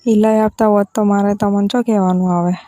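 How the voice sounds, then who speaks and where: A woman's voice: medium pitch, little pitch movement, fast, mellow, no echo, clean studio sound, faint music behind, natural voice. A woman with a Gujarati accent playing a demanding village in-law who wheedles insistently in a casual phone conversation. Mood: wheedling.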